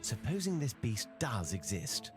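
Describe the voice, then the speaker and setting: An actor playing a composed, rational detective who speaks in a measured, formal, analytical way in a video game. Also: male voice, medium pitch, big pitch swings, over music. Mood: calm, rational. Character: composed, rational male detective, skeptical of superstition. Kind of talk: video game cutscene voice acting.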